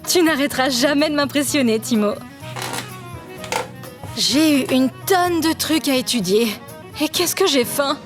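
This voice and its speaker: A young, rather high-pitched female student character. She is earnest and affectionate, acted naturally for an audio drama. Faint music sits behind her. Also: unhurried, long pauses. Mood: fond, casual. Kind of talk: naturalistic fiction podcast acting.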